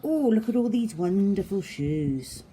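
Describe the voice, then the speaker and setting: A woman's voice: medium pitch, lively intonation, measured pace, noisy background. A woman reading a children's story aloud, addressing young listeners simply and directly like a primary teacher.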